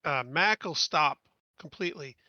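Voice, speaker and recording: A man takes part in a tabletop game with casual tactical chat. His voice is sharp and somewhat high. The recording is dry and a bit dull. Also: expressive, normal speed, no room sound.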